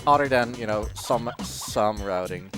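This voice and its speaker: A man's voice: lowish voice, measured pace, over music. A man giving casual, joking live commentary as a speedrunner, chatty throughout.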